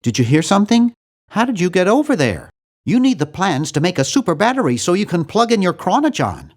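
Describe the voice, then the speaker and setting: A man voicing a nerdy, earnest and anxious young hero in a video game, with a fussy, animated delivery. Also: high voice, brisk. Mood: earnest, polite. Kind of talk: video game character voice lines.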